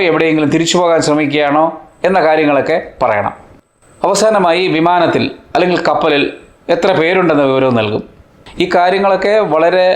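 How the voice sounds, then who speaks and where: A man presenting an educational explainer in deliberate, measured phrases. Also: a little high. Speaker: presenter of an educational video channel. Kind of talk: explanatory narration. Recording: roomy, echoing voice-over.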